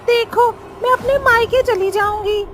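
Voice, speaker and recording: Woman playing a very high-voiced bloodthirsty witch wife. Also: a little slow, noisy background. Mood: petulant, thirsty. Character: bloodthirsty female witch wife, sly and demanding. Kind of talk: Hindi horror cartoon dialogue.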